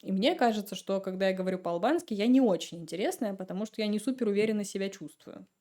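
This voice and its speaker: A woman guest on a podcast, telling stories in a relaxed, chatty way and laughing often. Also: medium pitch, fast. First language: Russian. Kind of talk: casual chat.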